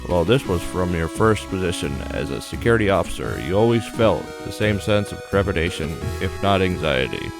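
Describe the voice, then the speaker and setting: A man's voice: low-pitched, expressive, fairly quick, vocal fry, hardly any pauses. A man hosting a gaming video, narrating a playthrough in a casual, plainspoken way. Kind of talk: game playthrough commentary, reading on-screen story text. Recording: music behind the voice. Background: game music.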